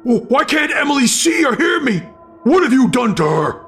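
Frantic, high-strained man demanding answers, acted by a male narrator. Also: sing-song, moderate pace, soft background music. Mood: angry, frantic. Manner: strained, shouted, desperate. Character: frantic, enraged young man confronting his double.

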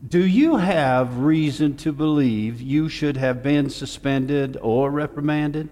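A man playing a courteous, methodical senator at an inquiry in an audio drama, formal and measured. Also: fairly high pitch, warm tone. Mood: courteous, probing. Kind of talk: fiction podcast audio drama. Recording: echoey hall with long reverb.

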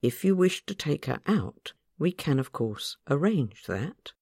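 A woman's voice: sits low, sing-song, gravelly, a little mellow, slightly rough, dry recording, clean studio sound, full character voice. Woman reading as a cautious, efficient orphanage matron. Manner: brisk, cautious, formal.

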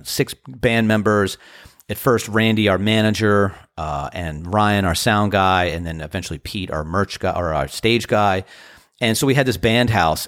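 A man in his fifties talks in a very low, dry voice, close and free of room sound. He rambles warmly through a reflective podcast monologue, his voice still tired and deep after a show. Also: no room sound. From Washington DC area. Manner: earnest, rambling, grateful storytelling. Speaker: podcast host and band musician.

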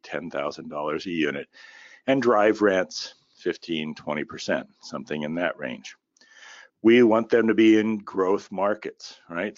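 A middle-aged man with a very low, slightly raspy and tired voice talks through a solo business podcast. He rambles folksily, with plenty of ums and uhs. The sound is dry and close, a little dull and muffled. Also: dry recording. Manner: folksy, with many fillers and baseball analogies. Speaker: podcast host and company founder.

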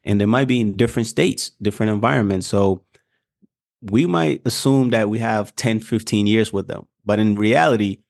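A man's voice: on the low side, normal speed, vocal fry, darkish tone, no echo, studio quality. A young man from Ghana, co-hosting a podcast, talking in an earnest, reflective way in casual chat. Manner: earnest, reflective, loosely structured. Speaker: podcast co-host.

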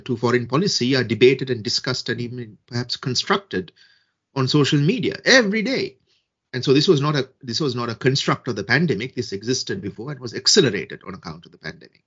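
A man from Sri Lanka giving measured, deliberate answers as a guest expert in a podcast interview, with frequent fillers and restarts. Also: mid-range voice, a bit bright, husky, muffled recording. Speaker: guest expert on social media and peace building.

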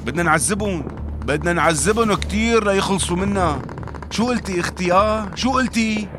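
An actor playing a determined rescuer from the Damascus countryside, speaking with a Syrian accent in an earnest, coaxing way with heavy sighs. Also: male voice, high voice, brisk, close to an everyday voice. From Madaya, Syria. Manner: earnest, coaxing, sighing heavily. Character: determined male rescuer, warm, protective, stubbornly hopeful. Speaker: civil defense rescuer. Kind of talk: radio drama acting. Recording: music bed behind the voice. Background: music.

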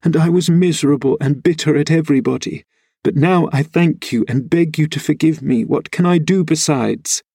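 A man's voice: medium pitch, animated, unhurried, slightly rough, dry recording, clean studio sound. A man voicing a willful young woman who pleads insistently with her cousin in an audiobook novel. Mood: pleading.

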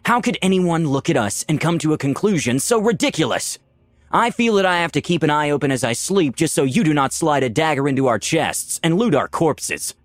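A man's voice: medium pitch, very animated, a little fast, hardly any pauses, slightly rough, dry recording, voice pushed up. A man voicing an indignant, self-righteous female paladin in a fantasy story, haughty and emphatic. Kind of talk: dramatised fantasy story reading.

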